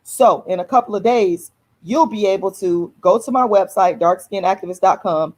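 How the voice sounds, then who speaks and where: A woman's voice: medium pitch, normal speed, darkish tone. A woman, casually announcing an event to viewers, repeating herself and addressing them as y'all. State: hoarse. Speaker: activist host of her own channel.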